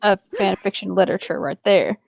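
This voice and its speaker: A woman's voice: medium pitch, a little fast, vocal fry, crowd noise. A woman co-hosting a casual read-aloud, trading comments between lines of the story. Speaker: co-host reading fan fiction aloud.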